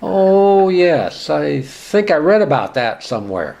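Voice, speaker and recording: Dithering, animated male boss played by an actor. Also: fairly high pitch, slow, gravelly, full character voice. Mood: befuddled, genial. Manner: dithering, hesitant, repeating himself. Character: forgetful, vague, genial male law-firm boss. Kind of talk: sitcom-style radio play dialogue.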